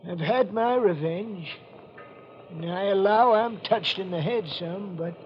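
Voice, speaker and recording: A man's voice: high-pitched, unhurried. An actor playing a vengeful, half-cracked old one-legged man with folksy rustic speech, in a 1940s radio mystery. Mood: softened, solemn. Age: older. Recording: dull, noisy 1940s radio recording.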